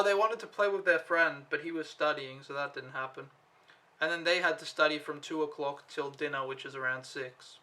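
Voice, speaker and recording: A young man from Denmark tells a casual vlog recap, wandering from point to point with plenty of fillers and the odd swear word. His voice sits a little high and sounds bright and forward. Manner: rambling, laid-back storytelling with lots of fillers and mild swearing. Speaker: au pair vlogger.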